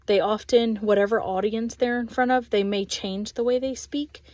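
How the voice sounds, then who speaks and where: A woman in her thirties with a Texas accent, an ESL aide giving a thoughtful spoken reflection with frequent pauses and ums. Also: fairly high pitch, steady tone, a little mellow, no room sound. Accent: Texan. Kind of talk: spoken reflection on a course video.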